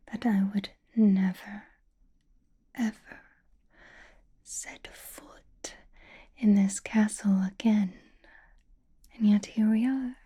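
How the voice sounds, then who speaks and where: Female vampire played by a woman, ASMR roleplay. Very breathy whisper, unhurried, teasing, possessive, quietly commanding. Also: mid-range voice. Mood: wistful, teasing. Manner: slow, coy, intimate, commanding.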